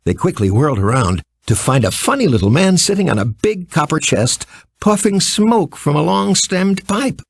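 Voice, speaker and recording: Animated male storybook narrator. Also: fairly high pitch, moderate pace, vocal fry, no room sound, clean studio sound. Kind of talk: expressive scripted children's narration.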